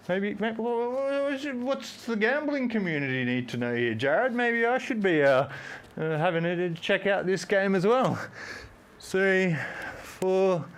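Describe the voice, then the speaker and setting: A man, hosting a fitness live stream, rambling and joking while he does squats and counts reps aloud. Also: fairly high pitch. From Australia. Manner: talks while doing squats.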